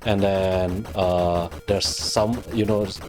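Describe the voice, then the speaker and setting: Indonesian-accented man, low voice, halting explainer, music behind. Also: fairly even intonation, darkish tone. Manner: hesitant, many fillers and restarts, small laughs. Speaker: scientist guest. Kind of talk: casual explaining on a livestream.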